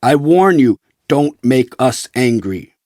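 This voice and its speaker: A man voicing a stern, threatening federal narcotics agent in a forceful, official manner for an audiobook. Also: medium pitch, sing-song, takes it slowly, dry recording, clean studio sound.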